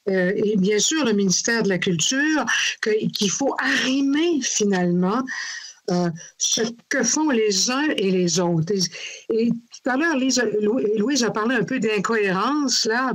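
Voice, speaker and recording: A woman's voice: fairly high pitch, fairly bright tone. A woman with a Québécois accent, a former minister testifying at a parliamentary hearing, emphatic and repeating words for stress. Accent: Québécois French.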